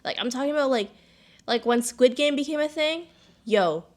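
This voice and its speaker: A woman's voice: high-pitched, animated, moderate pace, darkish tone. A young woman co-hosting a casual podcast, rambling with constant "like" fillers. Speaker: podcast co-host.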